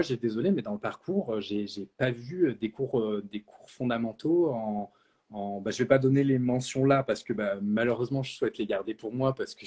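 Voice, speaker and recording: A man's voice: mid-range voice, a little mellow, breathy edge. A man speaking as a naturopath and trainer in a live question-and-answer talk, outspoken and blunt, with frequent hesitations. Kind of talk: live Q&A discussion.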